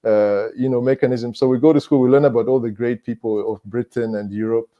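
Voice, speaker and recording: A man with a Zimbabwean accent speaking as a panelist in a reflective discussion, measured and halting, repeating phrases for emphasis. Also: mid-range voice, fairly even intonation, dull audio.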